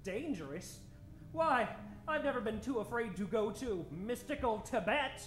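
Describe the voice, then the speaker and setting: An actor playing an eager, fearless doctor adventurer in old-time radio style, with theatrical, old-fashioned diction. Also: male voice, extremely high pitch, animated, a little slow, a bit bright, exaggerated voice. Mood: confident. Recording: roomy stage recording, music underneath.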